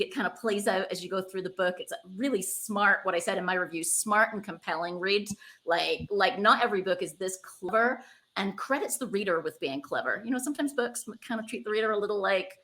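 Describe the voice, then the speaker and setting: A woman's voice: mid-range voice, fairly bright tone. A woman hosting a podcast, speaking casually and effusively as she interviews a guest. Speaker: podcast host and author.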